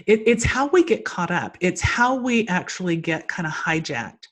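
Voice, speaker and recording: A woman's voice: on the low side, very animated, moderate pace. Rambling woman hosting a spiritual call. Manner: rambling, with many fillers and restarts. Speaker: host of an online healing challenge. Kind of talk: spiritual teaching talk.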